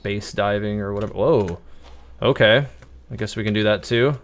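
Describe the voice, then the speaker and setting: A man gives casual live gameplay commentary in a fairly low voice. He is easygoing and talks through his thoughts as he plays. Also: moderate pace. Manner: easygoing, thinking aloud. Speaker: game streamer.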